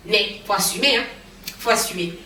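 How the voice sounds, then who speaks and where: A woman's voice: medium pitch, moderate pace, background noise. Tired woman with a cold, chatting. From now living in Canada. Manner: tired, chatty, many fillers. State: hoarse with a cold. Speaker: vlogger. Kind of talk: casual vlog chat. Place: home kitchen.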